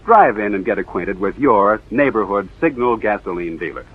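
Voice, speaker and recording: American male announcer, 1940s radio ad pitch; lowish voice, very animated intonation, muffled vintage recording. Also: normal speed, breathy edge. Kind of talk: 1940s radio commercial read.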